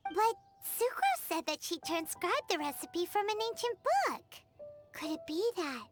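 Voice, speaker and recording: A woman voicing a tiny, childlike, excitable fairy companion with a squeaky voice in a video game. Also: a little slow. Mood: curious disbelief. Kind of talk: cartoonish video game acting. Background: game music.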